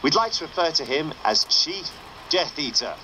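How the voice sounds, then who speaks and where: An actor playing a wry underground radio presenter in a game, with a dry, announcer-like delivery. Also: male voice, a little high, lively intonation, normal speed, pushed and bright, a little hiss or hum, poor audio. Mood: wry, upbeat. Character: wry underground radio show host, a man. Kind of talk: broadcast radio presenting, scripted game dialogue.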